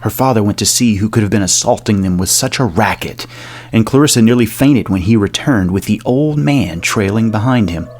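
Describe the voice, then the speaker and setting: A man narrating a scripted gothic horror audio drama in a measured, dramatic delivery. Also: fairly low pitch, darkish tone, slight background noise. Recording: music under the voice.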